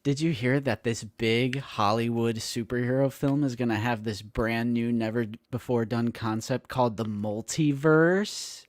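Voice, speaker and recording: A man's voice: mid-range voice, a little slow, few pauses. A man in his late twenties co-hosting a live stream, chatting casually and joking, acting out quotes. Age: late 20s. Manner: animated and joking, acts out quotes. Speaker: co-host of a toy collecting live stream.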